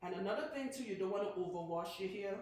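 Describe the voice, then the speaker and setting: A woman's voice: mid-range voice, expressive, quick pace, a little mellow. A woman with a Trinidadian accent, a loctician chatting and teasing while she demonstrates hair care in a salon. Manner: chatty, teasing, lots of "right?" and "okay?". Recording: very echoey room, faint noise.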